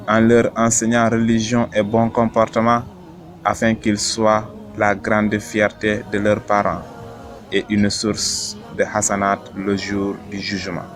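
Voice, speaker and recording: A man with a fairly low voice recites devotional verse in a solemn, measured way. His delivery is earnest and exhorting, shaped like scripted rhyming poetry. Faint music sits behind the voice. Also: a bit bright.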